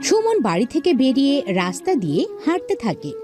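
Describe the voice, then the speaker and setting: Woman storyteller, high voice, lots of up and down, scripted kids fairy tale, soft music behind. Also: huge pitch swings, brisk. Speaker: narrator.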